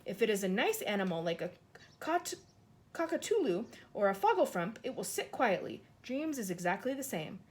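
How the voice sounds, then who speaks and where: A woman voicing a kind, excitable male giant in a storybook reading, talking in jumbled grammar and made-up words. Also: mid-range voice, moderate pace, natural voice. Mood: explaining. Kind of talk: audiobook character voice.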